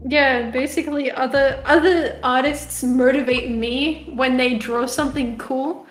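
A woman's voice: high voice, fairly even intonation, a little slow. A young woman from South Africa with an Australian accent, chatting casually as a podcast guest, giggling and rambling with lots of fillers. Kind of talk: casual chat. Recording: echoey room, faint music behind.

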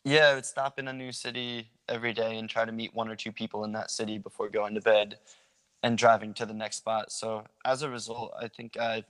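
A young man from the Philadelphia area talking informally as a guest, relaxed, with many ums. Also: on the low side, brisk, poor audio. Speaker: guest sharing a meet-people project. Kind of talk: casual interview chat.

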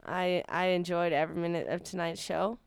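A woman's voice: lowish voice, fairly even intonation, vocal fry, clear, resonant tone, no echo, studio quality. A woman chatting as a guest on a radio show, enthusiastic and talkative. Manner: chatty, enthusiastic, quick laughs. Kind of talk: casual radio conversation.

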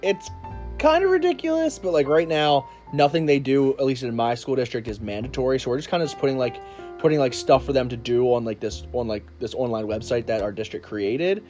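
A laid-back man with a loud, booming voice, talking casually about his teaching work. His pitch is middle-range, and the conversation is carried over a video call. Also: animated, fairly quick, faint music behind.

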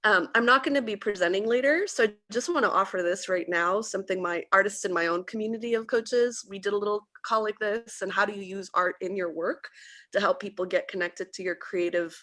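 A woman's voice: medium pitch, brisk, sharp tone. A woman coach introducing herself on a video call, hesitant and full of fillers and restarts. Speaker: coach and hobby painter.

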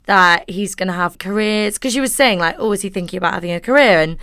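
A young woman with a deep voice that tends to go hoarse, chatting casually as a podcast co-host and acting out quotes as she tells a story. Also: quick pace, bright, forceful tone, no room sound, studio quality. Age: young adult. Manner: chatty storyteller, acts out quotes, laughs often. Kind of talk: casual chat.

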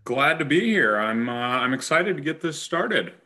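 A man's voice: on the high side, sing-song, moderate pace, pushed and bright, slight background noise. A man co-hosting a podcast with a dry, self-deprecating sense of humor. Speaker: podcast co-host. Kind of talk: casual podcast chat.

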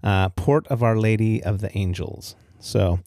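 A man's voice: lowish voice, measured pace, dark tone, no room sound, clean studio sound. An American man hosting a podcast alone, telling stories in a casual, easygoing way and given to chuckling at his own remarks. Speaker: podcast host. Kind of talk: casual solo storytelling podcast.

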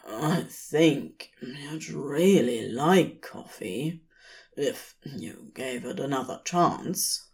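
A woman voicing a laid-back, sly yet bashful man with a raspy, sleep-rough voice and casual, slangy phrasing. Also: a bass voice, lively intonation, very slow, a little mellow, pitched below the performer's own voice, heavily acted. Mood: sleepy, fond.

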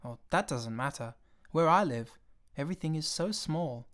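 A man's voice: on the high side, lively intonation, a little fast, a bit bright, voice pushed up. A man voicing a small, curious boy prince from a tiny planet, talking earnestly and asking wondering questions.

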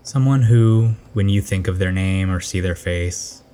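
A man narrating a guided meditation in a gentle, unhurried instructional manner, letting his phrases fall softly at the end. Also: low voice, a little hiss or hum.

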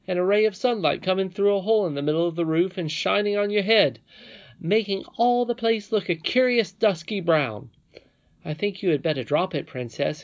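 A woman's voice: fairly low pitch, highs cut off, pitched above the performer's own voice, close to an everyday voice. A woman voicing a proud, stubborn young boy in a storybook, blunt and sulky. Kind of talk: storybook character reading.

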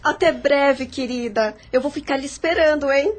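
A woman's voice: sits high, normal speed, natural voice. An actress playing an affectionate, eager young lady friend in a radio soap.

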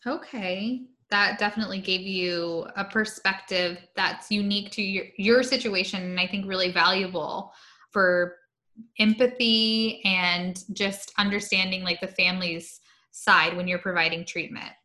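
A woman hosts a casual podcast interview, her voice bright and tense. She responds warmly to her guests with quick affirmations. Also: medium pitch, fairly even intonation. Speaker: podcast host.

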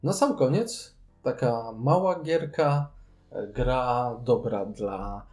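Mellow-voiced man chatting. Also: mid-range voice, takes it slowly. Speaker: podcast co-host reviewing board games. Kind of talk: casual chat, board game review.

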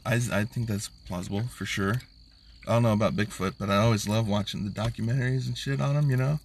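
A man's voice: fairly low pitch, steady tone, fast talker, vocal fry, recorded from a distance, slight background noise. A man co-hosting a casual, boozy podcast chat, rambling in a laid-back way with plenty of swearing. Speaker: podcast co-host.

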